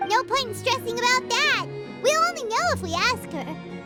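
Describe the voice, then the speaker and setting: A woman voicing a small, cheeky, childlike fairy companion in a video game, bubbly and excitable. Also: very high voice, moderate pace. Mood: eager. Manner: refers to self in third person, bubbly. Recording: loud music behind. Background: loud playful background music.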